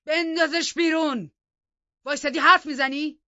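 A woman voicing a furious, domineering master of the house in an audiobook, snarling and shouting his threats. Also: high voice, fairly even intonation, no room sound, highs cut off, voice pushed up.

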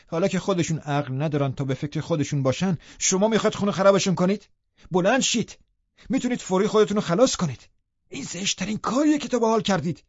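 Man acting a scolding female housekeeper, raised pitch, urgent, exasperated, storybook drama, muffled roomy recording. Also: darkish tone, lightly acted.

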